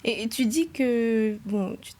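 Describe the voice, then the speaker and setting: A woman's voice: a little high, slow pace, a little mellow. A woman hosting an interview podcast, asking short questions and often answering with "ok.". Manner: short questions, frequent "ok" backchannels. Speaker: podcast host.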